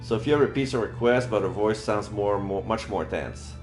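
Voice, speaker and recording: A man streaming a read-aloud of a visual novel, narrating in an expressive storytelling style. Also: lowish voice, normal speed. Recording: roomy sound, faint background music.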